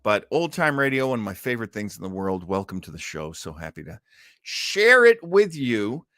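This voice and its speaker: Animated man hosting a casual show. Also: on the low side, normal speed, no room sound, clean studio sound. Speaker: show host. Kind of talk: casual unscripted show presenting.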